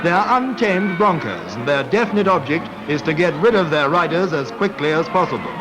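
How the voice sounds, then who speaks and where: A man's voice: on the high side, very animated, brisk, very noisy. A man with a Received Pronunciation British accent delivers formal, clipped, scripted sports commentary in 1940s newsreel style. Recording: vintage 1940s film soundtrack, loud music. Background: loud background music.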